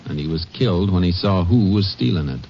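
An actor playing a terse, matter-of-fact, commanding Texas Ranger in a 1950s western radio drama. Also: male voice, low voice, expressive, fairly quick, over loud music. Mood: brisk, determined. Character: steady, commanding Texas Ranger, shrewd investigator. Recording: dull old radio recording.

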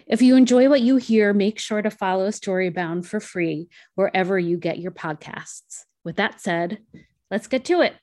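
A woman's voice: mid-range voice, normal speed, a little mellow. A woman podcast host reading a scripted introduction to a guest episode.

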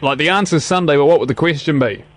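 A man's voice: fairly high pitch, quick pace. A man with a New Zealand accent chatting casually on the radio, patient, often restarting his phrases. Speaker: radio presenter, now interview guest.

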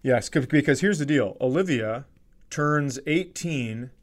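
A middle-aged American man chatting casually as a comedy podcast host, with an animated, profane, ranting manner. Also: medium pitch, measured pace.

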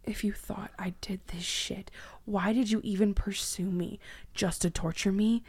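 Guarded, defiant young captive woman played by a woman, creaky. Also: mid-range voice, fairly even intonation, a little slow, darkish tone. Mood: angry, defiant. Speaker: voice actor in a dramatic reading. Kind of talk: dramatic reading of a dark podcast story.

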